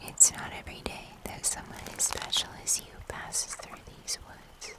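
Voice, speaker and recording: A young woman playing a gentle, wistful moth-human hybrid of the woods in a slow, intimate fantasy ASMR roleplay. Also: close to an everyday voice. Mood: entranced, tender. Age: young adult. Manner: slow, soft, entranced, intimate.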